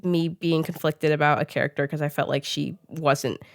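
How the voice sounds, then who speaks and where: A woman's voice: deep voice, normal speed, no echo. Giggly young woman podcast host, dry sound. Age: young adult. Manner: giggly, animated, talks with frequent "like". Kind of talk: casual conversational interview chat.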